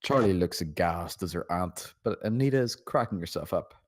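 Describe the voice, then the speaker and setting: A man's voice: low-pitched, animated, normal speed. A man reading out stage directions as narrator at a script table read.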